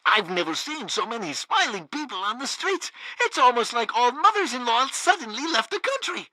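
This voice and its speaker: A man's voice: very high-pitched, big pitch swings, moderate pace, pushed and bright, dry recording, heavily acted, rough recording. An actor playing a pompous, fanatically loyal male radio news host in a video game, theatrical in delivery. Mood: exasperated, cheerful. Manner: theatrical, bombastic. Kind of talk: satirical radio news broadcast.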